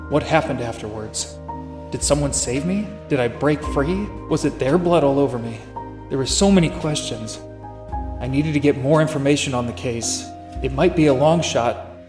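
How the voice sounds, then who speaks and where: An actor playing a troubled private detective with memory gaps in a noir audio drama, brooding and confessional. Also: male voice, mid-range voice, roomy sound, lightly acted. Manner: brooding, confessional inner monologue.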